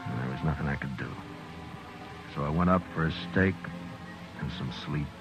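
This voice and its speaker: An actor playing a stern, weary Old West marshal in a 1950s radio Western, speaking tersely and with command. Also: male voice, a bass voice, moderate pace, highs cut off, music in the background. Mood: weary, somber. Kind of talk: 1950s Western radio drama acting and narration. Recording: noisy old radio recording.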